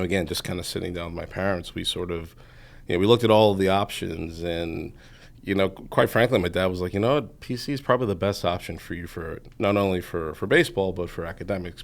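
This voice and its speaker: A man in his forties with a very low voice, roughened by a gravelly fry. He talks loosely and keeps circling back with "you know" as he recalls the past. The tone is relaxed and conversational, a guest talking at ease. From Massachusetts. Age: 40s. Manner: rambling, heavy on "you know" fillers. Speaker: guest, entrepreneur. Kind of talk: casual interview storytelling.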